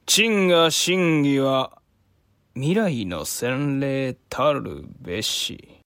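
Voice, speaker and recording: A man's voice: mid-range voice, animated, extremely slow, fairly bright tone, no echo, clean studio sound, exaggerated voice. A male actor playing an imperious medieval Japanese emperor, grave and stately, in a period audio drama. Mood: solemn triumph. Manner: grave, stately, pronouncing each phrase.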